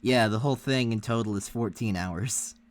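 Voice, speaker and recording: A man's voice: fairly low pitch, moderate pace. A man co-hosting a reaction livestream, chatting casually with the viewers. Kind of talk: casual chat with stream viewers.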